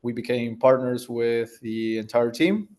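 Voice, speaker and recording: A man's voice: medium pitch, a little slow, darkish tone. A man, answering interview questions as a tech founder in a thoughtful way, searching for words as he goes. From Argentina. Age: 30s.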